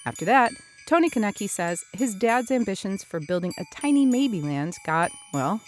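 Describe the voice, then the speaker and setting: A woman's voice: medium pitch, animated, moderate pace, soft background music. A woman news reporter reading a scripted feature narration.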